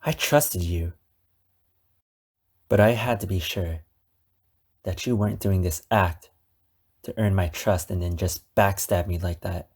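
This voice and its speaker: Obsessive lovesick male captor for ASMR roleplay, played by a man: lowish pitch, very slow delivery, wounded and menacing. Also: a little mellow, husky, exaggerated voice. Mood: bitter, controlled. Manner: slow, deliberate, dramatic pauses. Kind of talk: ASMR roleplay monologue addressed to the listener.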